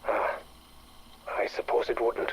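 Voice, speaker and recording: An actor playing an evasive, cynical scientist, dry and condescending, in a game audio drama. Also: male voice, slow, slight background noise, lightly acted, low-quality recording. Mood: evasive, wry.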